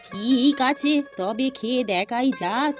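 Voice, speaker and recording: A woman as a high-voiced cartoon housewife. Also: slow pace. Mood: nagging, doubtful. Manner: questioning, exclamatory. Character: questioning, practical village housewife. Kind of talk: animated cartoon dialogue. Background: music.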